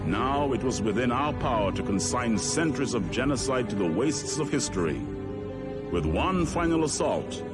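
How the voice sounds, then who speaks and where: An actor playing a weary, solemn veteran of a space war, narrating game cutscenes in a grave, measured, reflective way. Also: male voice, medium pitch, lightly acted. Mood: resolute, solemn. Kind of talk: dramatic scripted narration. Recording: music behind the voice. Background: prominent music.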